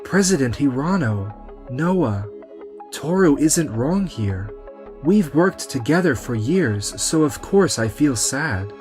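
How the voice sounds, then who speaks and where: A man's voice: mid-range voice, big pitch swings, takes it slowly, a little mellow, faint music behind. A man voicing a self-assured businessman in a manga romcom dub. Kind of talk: scripted manga dub acting.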